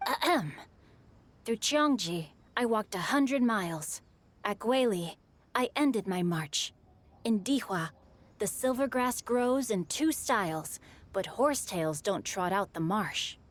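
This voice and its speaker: A woman's voice: mid-range voice, lively intonation, slow pace. A woman voicing a refined young scholar who recites verse in a poised manner, in a game cutscene. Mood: reciting.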